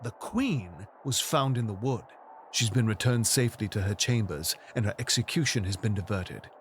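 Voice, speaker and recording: A man voicing a weary, burdened king in an audio drama, speaking gravely and measured. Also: fairly low pitch, expressive, a little hiss or hum, lightly acted. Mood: firm.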